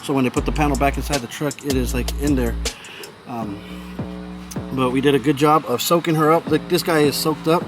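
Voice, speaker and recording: A man's voice: medium pitch, steady tone, a little fast, over music. A man hosting a casual hands-on DIY vlog outdoors, talking to viewers in an informal, slangy, repetitive way. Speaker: host of a DIY car audio video channel. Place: backyard, outdoors. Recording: noisy outdoor recording, vehicle and ambient sounds.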